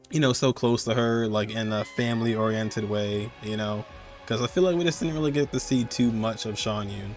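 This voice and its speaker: A man with a fairly low voice hosts a gaming countdown. He talks casually and excitedly, rambling informally and leaning hard on the points he cares about. Music plays behind his voice. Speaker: host of a gaming countdown video.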